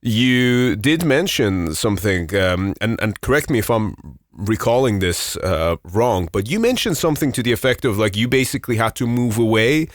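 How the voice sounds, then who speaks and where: A man's voice: on the low side, lively intonation, normal speed, no echo, studio quality. A man hosting a podcast, asking friendly, meandering questions full of fillers like "you know" and "um". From Iran. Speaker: podcast host, interviewer. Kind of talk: casual interview conversation.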